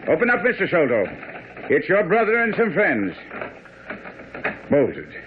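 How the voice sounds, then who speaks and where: An actor playing a shrewd, commanding gentleman detective in a radio drama. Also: fairly high pitch, huge pitch swings, measured pace. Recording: muffled, faintly noisy old radio broadcast.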